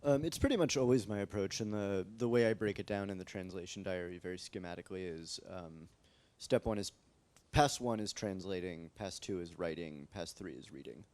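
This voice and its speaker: A man with an American accent and a deep, low-set voice talks through his work at a panel in a library. He rambles easily and fills his sentences with "like" and "um". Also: fairly quick, few pauses. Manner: relaxed, rambling, many fillers and "like". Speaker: translator on a panel. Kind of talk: casual panel discussion. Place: library event space with live audience.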